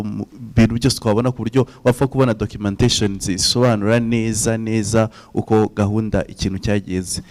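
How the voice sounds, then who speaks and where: A man's voice: lowish voice, a little mellow. A man with a Rwandan accent making a hesitant comment from the audience at a forum, with many fillers and restarts. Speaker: audience member asking. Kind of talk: forum comment mixing Kinyarwanda and English. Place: large conference hall.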